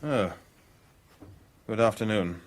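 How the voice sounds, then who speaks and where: A man playing a guarded, strained lover in a radio play, earnest and defensive, with a husky voice. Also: fairly low pitch, animated, slow. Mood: subdued. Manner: earnest, agitated, dramatic.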